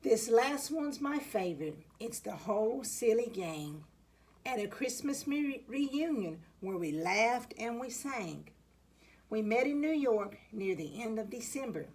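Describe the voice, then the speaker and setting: A woman reading a rhyming children's picture book aloud, with deliberate, dramatic phrasing for young listeners. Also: fairly high pitch, very animated, a little mellow, slight background noise. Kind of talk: expressive storybook read-aloud in rhyme.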